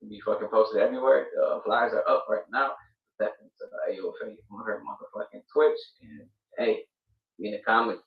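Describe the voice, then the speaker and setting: A man's voice: fairly low pitch, brisk, vocal fry. A man appearing as a DJ guest on a live stream, chatting in a laid-back way, swearing and hyping up the show. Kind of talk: casual stream chat. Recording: distant, roomy, dull-sounding mic.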